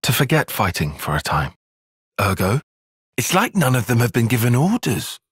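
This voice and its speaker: A man's voice: medium pitch, wildly swooping pitch, moderate pace, breathy edge, no echo, studio quality, exaggerated voice. A man playing an earnest, analytical young soldier in a fantasy video game, speaking with precise, formal phrasing. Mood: subdued. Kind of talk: video game cutscene acting.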